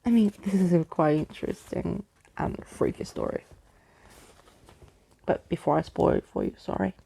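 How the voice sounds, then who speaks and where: Female ASMR storyteller, unhurried, with a dark mellow tone and casual reading style. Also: fairly low pitch, creaky, rough recording.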